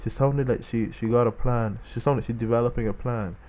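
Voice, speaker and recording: Animated Caribbean man giving political commentary. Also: mid-range voice, a little fast. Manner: animated, repeats phrases for emphasis. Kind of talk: casual opinion monologue.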